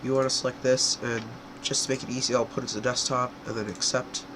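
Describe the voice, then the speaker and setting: A young man with a cold, his voice stuffy and congested, walks through a software tutorial in a loose, rambling way, often stopping to correct himself. He is recorded on a home computer mic, with a clear air-conditioning hum behind him. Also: medium pitch, flat delivery, quick pace. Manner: rambling, filler-heavy, self-correcting. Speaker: tutorial creator.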